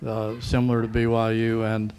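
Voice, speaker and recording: A man's voice: on the low side, completely flat delivery, moderate pace, darkish tone, slight background noise. A man working as a reporter, putting a question at a press conference.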